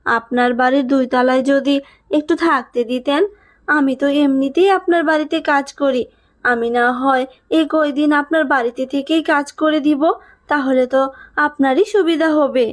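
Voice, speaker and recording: A woman's voice: sits high, fairly even intonation, moderate pace, natural voice. A woman voicing a poor, humble housemaid mother with a deferential manner, in a cartoon. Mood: pleading, worried. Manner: pleading, deferential. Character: poor pleading mother, housemaid stranded by flood. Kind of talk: Bengali cartoon dub.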